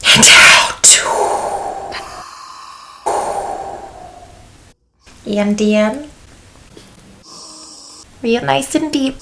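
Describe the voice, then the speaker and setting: A woman with an American accent voicing a sassy, sceptical lawyer in a soft-spoken ASMR role play, questioning in a wry, folksy way. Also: fairly high pitch, extremely slow, slight background noise, exaggerated voice. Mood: soothing. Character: sassy, sceptical female lawyer calming a client.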